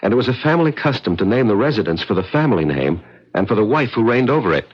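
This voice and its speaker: A man playing a tense, wistful American advertising man in a radio drama, earnest and a little halting. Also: mid-range voice, sing-song, slightly rough. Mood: brooding, earnest. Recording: muffled vintage radio, faint noise.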